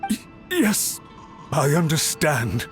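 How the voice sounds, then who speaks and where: A man's voice: a little high, big pitch swings, slow. An actor playing a cowed, nervous and deferential male servant in a video game, speaking meekly. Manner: stammering, meek, deferential. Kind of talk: scripted video game voice acting. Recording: music behind the voice.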